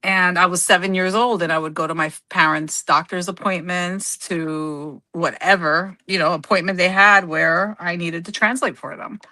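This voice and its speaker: A woman's voice: fairly low pitch, fairly even intonation, moderate pace, hardly any pauses, bright, forceful tone, no room sound. A woman whose first language is Spanish, co-hosting a scrapbooking livestream in casual chat, often stopping to search for words and correct herself. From United States. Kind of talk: casual chat while crafting.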